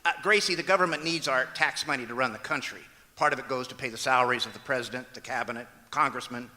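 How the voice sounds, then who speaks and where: A man plays a long-suffering comedy husband with a very bright, forceful voice. He explains things drily and patiently, in old radio style, echoing through a hall. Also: medium pitch, quick pace. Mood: patient, explaining. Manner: dry, patient, explanatory, hesitant. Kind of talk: old-time radio comedy acting on stage. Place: large auditorium stage, live performance. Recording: echoey auditorium stage recording.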